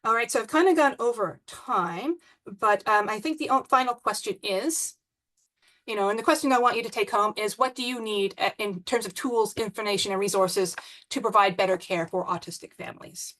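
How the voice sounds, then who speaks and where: A woman with a New Zealand accent giving an online academic presentation on a video call, with many pauses and restarts. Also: medium pitch, a bit bright, rough recording. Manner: hesitant, many ums and restarts. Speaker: conference presenter.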